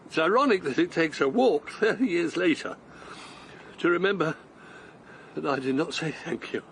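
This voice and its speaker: A man's voice: a little high, expressive, moderate pace, creaky, fairly bright tone, a little hiss or hum. An actor playing an older man out on a long walk, breathless and wondering, chuckling to himself in a radio drama. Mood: fond.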